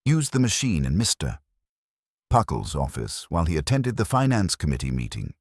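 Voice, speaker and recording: A man's voice: a bass voice, huge pitch swings, normal speed, slightly rough, no echo, clean studio sound, heavily acted. A man voicing a prim, observant woman office cleaner in a radio mystery, speaking precisely and formally. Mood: precise, confiding.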